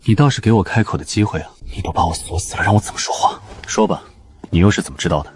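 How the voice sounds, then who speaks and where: A man's voice: low-pitched, racing, slight background noise, lightly acted. A man acting a serious, guarded character in a Chinese romance audio drama, with a wary, dramatic delivery. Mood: stern, wary.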